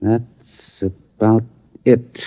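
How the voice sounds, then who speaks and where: An actor playing a skeptical, deliberate lab scientist in a 1950s radio drama. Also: male voice, lowish voice, little pitch movement, dry recording, lo-fi sound, exaggerated voice. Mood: puzzled. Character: brisk male lab scientist, skeptical but curious.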